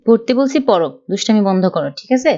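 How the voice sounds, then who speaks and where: A woman's voice: medium pitch, expressive, fast talker, dark tone, natural voice. A woman voicing an exasperated young female tutor in an amateur cartoon, scolding and pleading with mischievous children. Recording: dull lo-fi audio with music behind.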